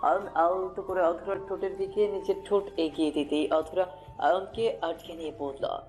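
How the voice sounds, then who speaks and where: A man's voice: sits high, steady tone, moderate pace, a little mellow. A man giving a scripted narration of a romantic story. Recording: music bed under the voice. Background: music.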